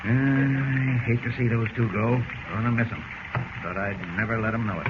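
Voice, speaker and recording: Gruff, blustery editor-in-chief, played by a man, mid-pitched, vintage radio acting under clear hiss and noise. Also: fairly even intonation, moderate pace, lightly acted. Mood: gruffly fond. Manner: blustering, stammering, brusque. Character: blustery, gruff but soft-hearted newspaper chief. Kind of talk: 1940s radio drama acting. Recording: muffled, noisy old radio recording.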